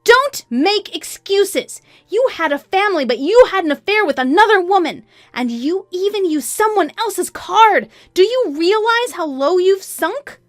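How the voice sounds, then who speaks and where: Woman acting a scornful, high-voiced betrayed wife. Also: very animated, moderate pace, no room sound, studio quality, soft background music. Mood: furious. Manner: emphatic, cutting, accusing. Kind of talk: acted dialogue in a narrated story.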